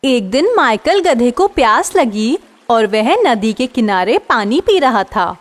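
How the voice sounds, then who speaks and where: A woman telling a children's cartoon story slowly and clearly, her fairly high voice rising and falling a lot as she goes. The voice carries a long reverb, and soft music plays underneath. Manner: slow, clear, expressive storytelling. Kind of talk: scripted narration of a children's story.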